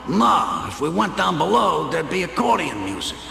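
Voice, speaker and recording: An actor playing a bossy, streetwise older-brother plumber with a gravelly voice in a radio play. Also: male voice, on the high side, huge pitch swings, moderate pace, bright, forceful tone, exaggerated voice. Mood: wry, baffled. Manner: brash, wisecracking, streetwise.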